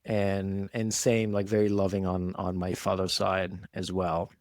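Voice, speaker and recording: A man's voice: deep voice, monotone, unhurried, darkish tone, no echo, clean studio sound. A man, giving reflective, conversational answers as an interview guest. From Israel. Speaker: podcast guest, entrepreneur.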